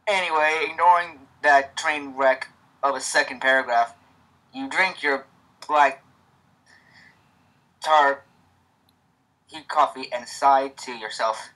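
A man's voice: mid-range voice, fairly even intonation, slow pace, pauses often, bright, forceful tone, low-quality recording. A man with a stutter hosting a casual reading of a fan story, with many restarts.

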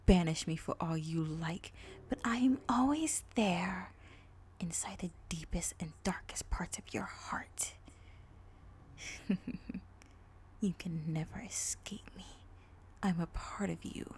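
Dark Lily, a woman doing a whispering pony villain. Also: lowish voice. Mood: menacing. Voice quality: hissing whisper. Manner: taunting, slow and purring. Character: sinister dark female pony spirit, gloating villain.

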